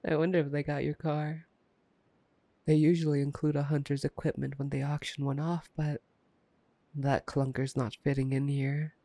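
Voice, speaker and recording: A man playing a taunting, playful, predatory vampire in an intimate ASMR roleplay, teasing and drawling with soft menace. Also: on the high side, flat delivery, moderate pace, dry recording, close to an everyday voice. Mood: amused, musing.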